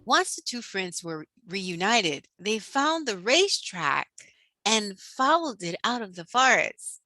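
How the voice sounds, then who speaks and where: Expressive female narrator for kids' stories; slow-paced, swooping intonation, dramatic scripted read. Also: mid-range voice, no echo. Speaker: children's storyteller and host. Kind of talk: animated scripted story narration for children.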